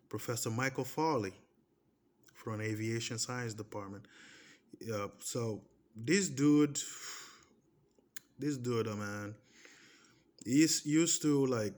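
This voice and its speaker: A man in his thirties whose first language is Portuguese, speaking English with a Portuguese accent in a casual personal monologue. He talks emphatically, restarting phrases and pressing his points with repeated checks like "okay?". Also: on the low side. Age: 30s. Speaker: vlogger.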